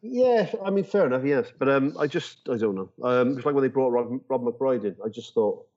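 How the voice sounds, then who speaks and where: Mellow-voiced man, blokey chat. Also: medium pitch, lively intonation, normal speed, lo-fi sound. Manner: blokey, opinionated, informal. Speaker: podcast guest. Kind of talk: casual rugby chat.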